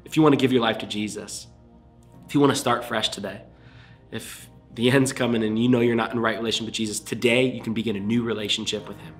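A man's voice: on the low side, steady tone, quick pace, faint music behind. A young man preaching as a pastor to camera in a small room, earnest and fervent, sounding a little congested. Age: young adult. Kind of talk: livestream sermon and altar call. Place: small indoor room before a screen.

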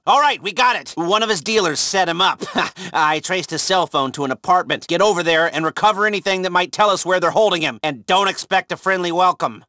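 A wry, upbeat male heist handler from a video game. His voice sits high and is crisp-edged and bright, in a very dry recording. He briefs casually, with little sardonic asides. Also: dry recording. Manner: informal, wry, hesitant asides. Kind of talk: video game mission dialogue.

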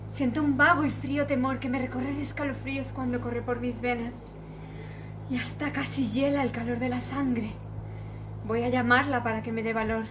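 A woman's voice: fairly high pitch, noisy background, close to an everyday voice. A woman playing a frightened young noblewoman in a declamatory classical stage monologue, full of dramatic questioning. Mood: fearful, hesitant. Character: frightened young noblewoman agonizing over dark fears. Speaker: amateur stage actress.

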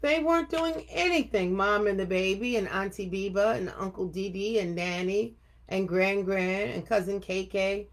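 An older woman reading a children's picture book aloud expressively, with deliberate, emphatic phrasing. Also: mid-range voice. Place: home office room indoors. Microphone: webcam at desk distance.

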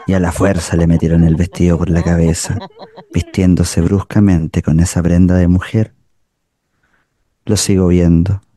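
Man acting a deep, gravelly, sombre storyteller. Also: dark tone, no room sound. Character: sombre, tender man remembering a bullied schoolmate. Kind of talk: radio drama narration.

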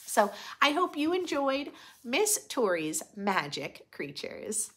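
A woman in her thirties hosting a children's read-aloud, giving gentle, expressive asides in a room at home. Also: high-pitched, slow, a little hiss or hum. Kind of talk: picture book read-aloud with friendly asides to young children. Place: small furnished room at home, indoors.

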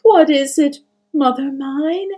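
Woman as a storybook young boy, high-voiced, breathy, gentle, anxious, questioning, vulnerable. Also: very animated, slow, heavily acted. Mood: nervous. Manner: soft, nervous, questioning.

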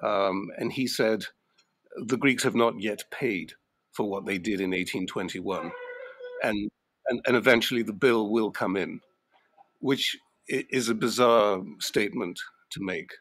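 A man's voice: lowish voice, unhurried, fairly bright tone, no room sound. A man speaking as a guest on a panel podcast, thoughtful and hesitant with fillers. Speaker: journalist guest.

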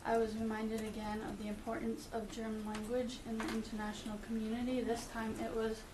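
A middle-aged woman reading a letter aloud at a school meeting, steady and careful, with occasional fillers. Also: a little high, fairly quick. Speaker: parent speaking at public comment. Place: meeting room with audience. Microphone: far room camera. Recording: echoey room, distant camera, background noise.

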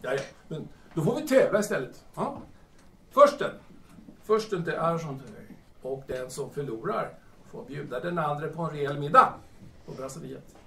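An actor in an audio drama playing a boastful, cantankerous young absinthe-drinking poet with halting, repetitive, bombastic delivery. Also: male voice, a little high, expressive, sharp tone. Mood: eager. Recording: roomy, distant mic, faint noise.

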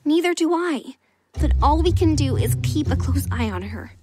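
A woman playing a sensible, weary young female pony leader in a fan cartoon, measured and earnest. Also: sits high, animated, loud music behind the voice. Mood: resigned.

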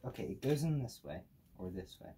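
A man's voice: medium pitch, sing-song, a little fast, mellow. A young man thinking aloud casually in a hesitant, puzzled mutter. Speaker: participant trying out old technology. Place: small cluttered room at a desk indoors. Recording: clear background noise with clicks.

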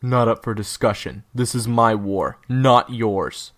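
A man playing a cold, defiant assassin gang leader in an audio roleplay drama, with a husky, gravelly voice. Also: mid-range voice, steady tone, a little slow, fairly bright tone, close to an everyday voice. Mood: cold, commanding.

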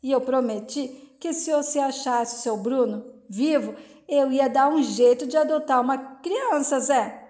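A woman's voice: high voice, a little slow, dark tone. A woman reading the lines of a determined, earnest middle-aged country wife in a soap recap.

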